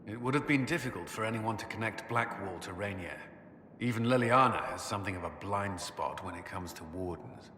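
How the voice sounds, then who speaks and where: An actor playing a serious military adviser in a video game, measured and matter-of-fact, in an echoey hall. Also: male voice, lowish voice, sharp tone. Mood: serious, informative. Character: serious military adviser reporting to his leader. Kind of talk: dramatic video game acting. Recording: echoey hall, long reverb, faint noise.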